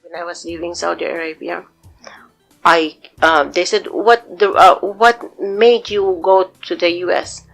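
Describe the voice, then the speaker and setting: A woman's voice: on the low side, fairly even intonation, unhurried, gravelly, a bit bright, faint music behind. A middle-aged woman and mother speaking earnestly and encouragingly in a personal interview.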